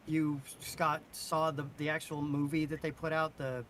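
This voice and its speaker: A man's voice: monotone, moderate pace, a bit bright. A man chatting casually as a podcast co-host. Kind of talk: casual chat. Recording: noisy mic with low rumble.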